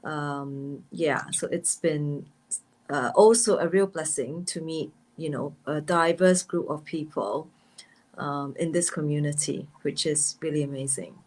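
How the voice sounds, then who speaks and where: A woman from Singapore speaking as a guest in a video-call chat, calm and reflective. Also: low-pitched, unhurried. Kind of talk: casual chat.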